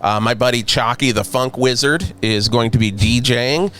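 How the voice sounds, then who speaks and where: A man's voice: mid-range voice, moderate pace, sharp tone, a little hiss or hum. A man hosting a podcast in casual, jokey, rambling chat. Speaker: podcast host.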